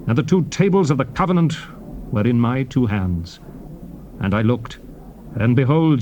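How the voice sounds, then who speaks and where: An actor playing a solemn Hebrew prophet and leader, addressing his people in formal, declamatory scripture oratory with measured phrasing. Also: mid-range voice, a little mellow, lo-fi sound, natural voice. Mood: grave, reproachful. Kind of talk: dramatized scripture reading, formal theatrical oratory. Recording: noisy old cassette tape transfer.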